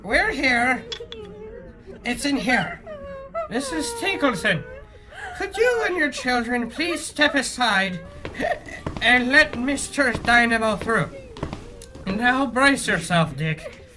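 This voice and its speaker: A woman playing a slow, high-voiced boozy cop. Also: very animated, over music. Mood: matter-of-fact. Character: hard-drinking, dramatic adult police officer. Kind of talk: parody pulp audio drama acting.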